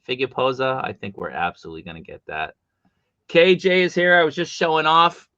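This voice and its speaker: A man hosting a live stream from home, chatty and animated, with a big voice and coming down with a cold. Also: mid-range voice, brisk, no echo, lo-fi sound. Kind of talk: casual live stream chat.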